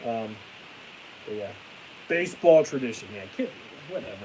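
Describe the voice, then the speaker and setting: A young man chatting on a live stream with a stuffy nose and a fading voice, ranting excitably and then trailing off into tired mumbles. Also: medium pitch, moderate pace, poor audio. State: stuffy-nosed, losing his voice. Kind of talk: casual live stream chat. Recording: hissy home stream mic.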